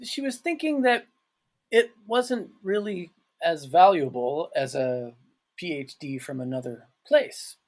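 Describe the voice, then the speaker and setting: A man, a guest on a podcast, talking on a call from his office in a halting way, full of restarts. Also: on the high side, expressive, darkish tone. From Canada. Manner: halting, with restarts and "you know, like". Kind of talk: casual interview on a call.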